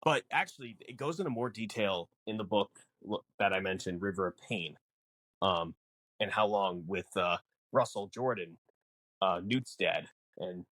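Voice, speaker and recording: A man co-hosting a casual film podcast, explaining things in a halting way with repeated words. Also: lowish voice, a bit bright, no room sound, poor audio. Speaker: podcast co-host. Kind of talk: casual film discussion chat.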